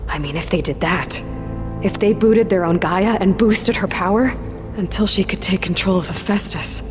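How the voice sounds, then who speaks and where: A woman's voice: mid-range voice, normal speed, lightly acted. A young woman playing a determined, questioning sci-fi adventurer in a video game, with an earnest, conversational delivery. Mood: thoughtful, concerned. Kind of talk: scripted video game cutscene dialogue. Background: background music.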